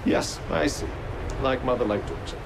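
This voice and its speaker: An actor playing a haughty, articulate companion in a video game, speaking in a formal, sardonic and theatrical manner. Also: male voice, medium pitch, normal speed, creaky, noisy background, lightly acted. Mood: urging, accusing. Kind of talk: video game cutscene acting.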